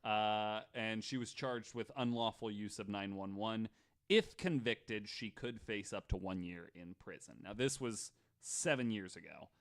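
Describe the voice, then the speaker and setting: A man with a fairly low voice hosts a podcast. He tells stories from notes in a casual, conversational manner, dropping in jokey asides. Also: measured pace. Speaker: podcast host. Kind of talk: casual storytelling from notes with banter.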